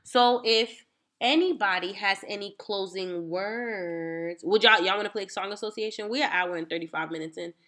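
Young woman podcast host, stuffy and nasal, bright-toned, earnest, fills pauses with "um" and "you know". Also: medium pitch. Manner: earnest, thoughtful, hedging with fillers. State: congested, nasal. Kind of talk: casual group chat.

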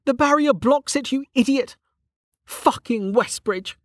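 A woman's voice: high voice, a little slow, no room sound, studio quality, voice pushed up. A woman voicing a bitter, weary, hot-tempered and foul-mouthed woman in an audiobook, snappish and scornful. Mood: angry, defiant.

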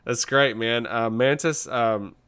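A man's voice: medium pitch, slow, a bit bright, clear, resonant tone. A man co-hosting a podcast, chatting casually and bluntly in slangy, laid-back talk about what he has been watching. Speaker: podcast co-host. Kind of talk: casual chat reviewing shows and films.

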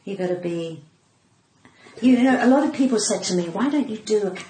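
A woman's voice: mid-range voice, animated, brisk, dark tone. A middle-aged woman speaks in a cut-glass English accent with a flamboyant, theatrical manner. Her chat is casual and anecdotal, like a performer being interviewed. The room is echoey, with some noise behind her. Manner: giggly. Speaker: comedian and actor being interviewed. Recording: roomy echo, clear background noise.

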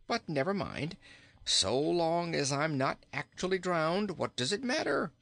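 A man's voice: fairly high pitch, big pitch swings, measured pace, creaky, a bit bright, no room sound, pitched above the performer's own voice, heavily acted. A man voicing a plump, jolly, pun-loving king in a theatrical storybook manner. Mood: resigned, cheerful. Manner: theatrical, exclamatory, chuckling at own jokes.

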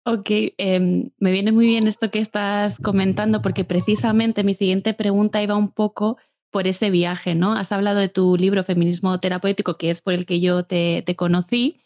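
A woman's voice: medium pitch, level tone, moderate pace, darkish tone, dry recording. A woman interviewer with a mid-range voice, recorded close and dry. She attentively sums up her guest's story before putting her next question. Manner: attentive, summarizing. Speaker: interviewer host.

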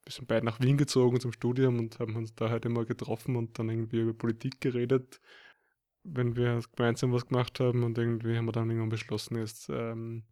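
A man's voice: mid-range voice, level tone, fast, warm tone. A man, rambling casually with many fillers. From Upper Austria. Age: 20s. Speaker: student co-host. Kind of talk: casual podcast chat.

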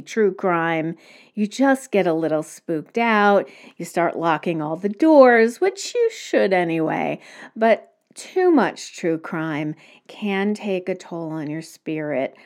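A woman's voice: fairly low pitch, lively intonation, slow pace, a little mellow. A woman hosting a solo podcast, talking conversationally and recommending things to listeners. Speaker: podcast host.

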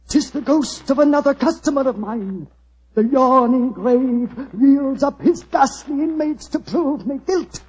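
A man playing a murderous, ghost-haunted barber in florid, declamatory old radio melodrama style, with a husky voice. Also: very high-pitched, darkish tone, heavily acted. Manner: ranting, theatrical, overblown declamation. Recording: dull, lo-fi vintage radio recording.

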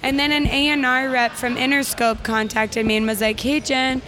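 A young woman with a gravelly speaking voice, chatting upbeat as a guest singer in an interview, full of likes and ums. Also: sits high, little pitch movement, moderate pace, noisy background. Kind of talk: casual interview chat.

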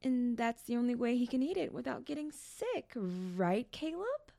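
A woman voicing a frightened but quick-thinking young girl in a story, high-pitched and urgent. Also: animated, vocal fry, dark tone, no echo. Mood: nervous covering. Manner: urgent, high-pitched, stammering under fear.

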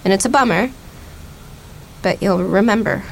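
A woman's voice: mid-range voice, moderate pace, creaky, slight background noise, lightly acted. An American woman acting a guarded, defiant young girl, wounded but curious, in a naturalistic radio play. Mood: wistful.

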